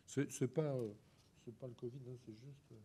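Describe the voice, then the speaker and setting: A man with a bad head cold giving an informal lecture on a photograph, talking slowly with many ums and restarts. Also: mid-range voice, steady tone, dark tone, a little hiss or hum.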